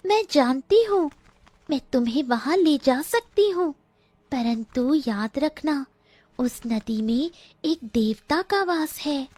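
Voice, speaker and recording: A woman voicing a magical talking myna bird in an animated fairy tale, stern and full of warnings. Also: high-pitched, takes it slowly, no echo, voice pushed up. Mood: commanding, cautioning. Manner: menacing, warning, declamatory.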